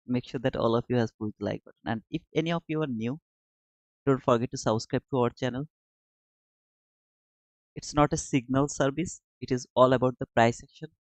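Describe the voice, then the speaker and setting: A man's voice: medium pitch, fairly quick, long pauses, no echo. A man from Bangladesh commenting casually on his live trading chart and chatting with viewers in the chat. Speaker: trading streamer. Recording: dull lo-fi dry sound.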